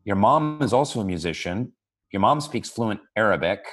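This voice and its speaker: A man hosting an interview in a casual, joking way with halting fillers. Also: fairly low pitch, expressive, fairly bright tone, breathy edge. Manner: joking, hesitant, filler words. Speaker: host.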